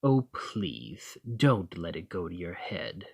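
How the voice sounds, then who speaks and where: A man's voice: deep voice, expressive, slow, creaky, a little mellow, breathy edge, dry recording, clean studio sound, exaggerated voice. A man playing a dominant, haughty fantasy thane in an ASMR roleplay, commanding and formal with a condescending, teasing edge. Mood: instructive, teasing. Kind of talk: ASMR roleplay acting, slow and intimate.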